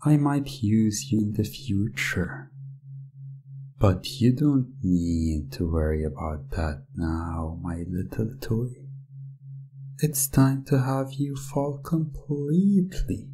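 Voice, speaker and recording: Man playing a very slow, smug hypnotic captor. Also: fairly low pitch, slight background noise. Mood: smug, possessive. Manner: slow, hushed, coaxing and condescending, slips into whispers. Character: smug, controlling male owner of a magic gem. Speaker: roleplay audio performer. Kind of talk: hypnosis ASMR roleplay.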